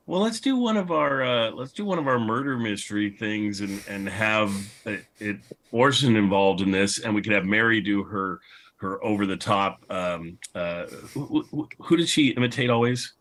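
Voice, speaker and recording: A man's voice: on the low side, fairly bright tone. A man moderating a casual panel discussion, talking in an enthusiastic, appreciative way. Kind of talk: casual panel discussion about old radio.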